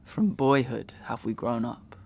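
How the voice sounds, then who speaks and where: A man performs a wronged, despairing young gentleman from a Victorian stage melodrama. His middle-pitched voice has a pronounced creak, and he declaims every phrase with noble, archaic passion. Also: fairly even intonation, unhurried. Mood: earnest, pleading. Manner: declamatory, archaic, impassioned delivery. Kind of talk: Victorian stage melodrama acting in an audio drama.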